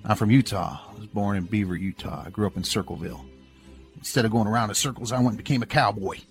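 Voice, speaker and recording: A man's voice: lowish voice, fast talker, faint music behind, close to an everyday voice. A man voicing a folksy, cocky cowboy bank robber in a Western story, with Old West phrasing. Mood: confident.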